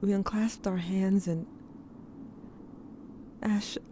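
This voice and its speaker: A woman playing a haunted, grieving diarist in an audio drama, narrating in a measured, brooding and intimate way. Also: medium pitch, steady tone, dark tone, slight background noise. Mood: anguished. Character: haunted, grieving diarist recounting dreams to a lost sibling. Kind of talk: scripted first-person audio drama narration.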